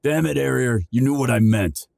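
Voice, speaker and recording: An actor playing a loyal but hot-tempered dragon captain who sounds gruff and exasperated. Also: male voice, medium pitch, moderate pace, darkish tone, no room sound, studio quality. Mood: frustrated. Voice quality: gravelly. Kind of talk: audio roleplay acting.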